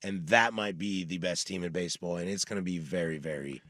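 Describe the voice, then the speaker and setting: A man hosting a casual sports talk show, telling stories with bursts of energy and drops into low asides. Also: a little fast, no echo, studio quality. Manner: animated storyteller, swings between loud bursts and low asides.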